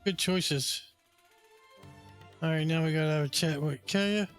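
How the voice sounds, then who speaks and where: A man's voice: a little high, measured pace, faint music behind. A man giving casual video game commentary in short, reactive asides. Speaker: gamer commenting on playthrough.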